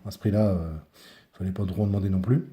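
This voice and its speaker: A man's voice: low-pitched, fairly even intonation, fast, dark tone. A man giving a casual hands-on product review, speaking informally and often searching for his words.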